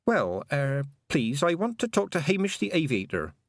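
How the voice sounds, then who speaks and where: A man's voice: fairly high pitch, very animated, normal speed, dry recording, studio quality, voice pushed up. A man doing a careful, raised-voice young girl. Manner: careful, hesitant.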